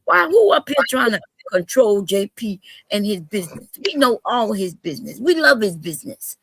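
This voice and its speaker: A woman's voice: medium pitch, big pitch swings, normal speed, fairly bright tone, breathy edge. A woman in a casual group chat, teasing and exasperated, often exclaiming to the Lord.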